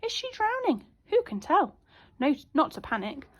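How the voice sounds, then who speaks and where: A woman teacher reading a children's storybook aloud in an expressive, child-directed way. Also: high voice, moderate pace. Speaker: primary school teacher.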